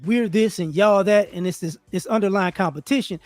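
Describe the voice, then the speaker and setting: A high-pitched man in a relaxed livestream chat, talking in loose slang and acting out bits of his stories. The sound is dry and close, with faint music under it. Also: a little fast, no room sound. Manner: slangy, animated storytelling with many restarts. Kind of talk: casual discussion. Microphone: remote call setup.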